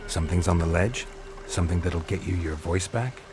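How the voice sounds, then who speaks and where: A man playing a stoic, gruff monster hunter in a video game, speaking in terse, restrained, gravelly lines. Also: sits low, fairly quick, music in the background, natural voice. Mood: curious, dry. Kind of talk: video game cutscene acting.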